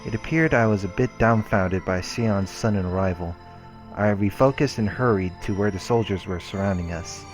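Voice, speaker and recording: Man, deep voice, let's play host, measured read-aloud narration, visual novel, faint music underneath. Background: game background music.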